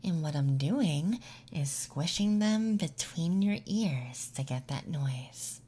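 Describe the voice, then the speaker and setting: A woman doing an ASMR roleplay, mixing soft speech with whispers in an intimate, gentle, teasing way straight to the listener, close to the microphone. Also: sits low, lively intonation, slow pace, breathy edge.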